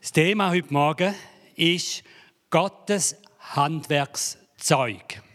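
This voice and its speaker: A man's voice: a little high, drawn-out words, a bit bright. A man preaching a sermon in a church hall, joking and building to dramatic emphasis. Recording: reverberant hall.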